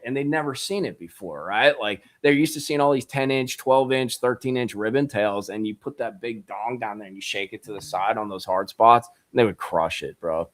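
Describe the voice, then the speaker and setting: A man, a guest angler on a podcast, talking in an excitable, loose way, full of bro and dude. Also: fairly low pitch, normal speed, few pauses, dry recording. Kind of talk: casual podcast chat.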